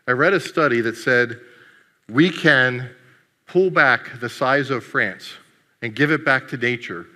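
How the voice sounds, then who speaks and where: A man's voice: medium pitch, unhurried, pushed and bright. A man giving a humorous keynote talk at a conference, joking with the audience. His first language is Greek. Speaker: conference speaker. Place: conference hall. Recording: long hall reverb.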